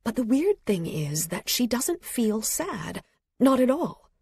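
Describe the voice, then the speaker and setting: A woman reading literary fiction as an audiobook narrator, with a measured, expressive delivery and a slightly husky voice. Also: a little high, dry recording, clean studio sound. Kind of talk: scripted literary fiction narration, present tense. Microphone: close studio microphone.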